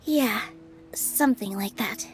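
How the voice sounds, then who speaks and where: A woman playing a gentle, earnest young theater dancer in a video game, speaking politely and sincerely. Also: a little high, slow pace, soft background music. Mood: calm, earnest. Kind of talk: English video game voice acting.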